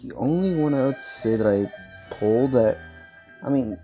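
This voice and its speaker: A mellow-voiced man rambling over a game. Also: medium pitch, lively intonation, moderate pace, muffled recording. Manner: rambling, distracted, many fillers. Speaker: gamer streaming a playthrough. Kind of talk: casual gaming commentary. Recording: voice over game audio with faint music.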